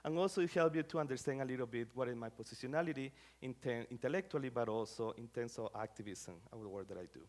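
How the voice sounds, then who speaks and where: A man's voice: medium pitch, fast talker, few pauses, a little mellow. Man giving a casual lecture. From Costa Rica. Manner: conversational, many fillers, non-native phrasing. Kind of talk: academic public talk.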